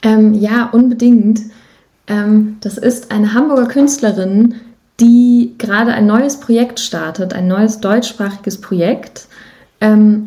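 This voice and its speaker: A woman's voice: mid-range voice, warm tone. A young woman chatting casually as an interview guest, enthusiastic and emphatic in the way she talks. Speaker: interview guest, musician and voice actor.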